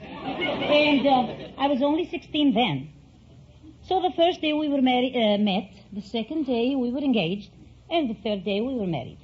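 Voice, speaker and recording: A woman's voice: high voice, animated, moderate pace, a faint crowd. An older woman from Greece with a Greek accent, telling stories as a contestant on a vintage radio quiz show. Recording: dull, faintly noisy vintage radio recording.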